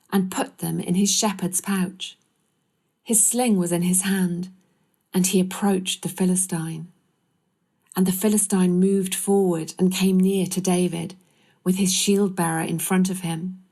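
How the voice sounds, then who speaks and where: A woman's voice: on the low side, warm tone. A woman narrating a scripture story from a script.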